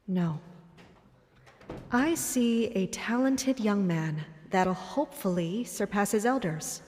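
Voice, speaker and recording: A woman playing a steady, reassuring military captain and mentor in a fantasy audio drama. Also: mid-range voice, slow pace. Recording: long hall reverb, faint noise.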